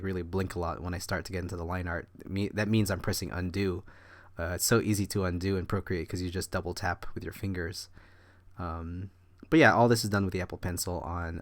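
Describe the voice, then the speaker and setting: A man with a deep, low voice narrates his own drawing time-lapse in a loose, meandering way, a bit under the weather with a cough. The sound is dry, with no room echo. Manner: rambling, meandering delivery. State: slightly sick with a cough. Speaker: artist and channel host. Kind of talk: casual voice-over commentary on a drawing time-lapse.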